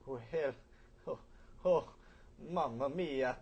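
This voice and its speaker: A man voicing a grumbling but soft-hearted cartoon chef with an Italian accent and a blustery, grudging manner. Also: medium pitch, slow, breathy edge.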